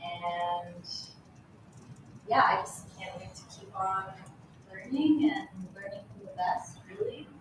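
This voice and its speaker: A young woman with a New Mexican accent, an intern giving halting remarks to a town board, full of fillers. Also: mid-range voice, animated, faint music behind. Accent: New Mexican English. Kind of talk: nervous public remarks. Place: town meeting hall. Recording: distant echoey meeting-room mic.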